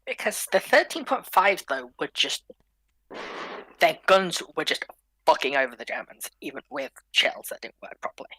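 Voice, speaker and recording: A man's voice: on the high side, big pitch swings, moderate pace, bright, forceful tone, dry recording, rough recording. A man speaking as a panellist in a casual debate, blunt and opinionated. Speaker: panellist in a history discussion.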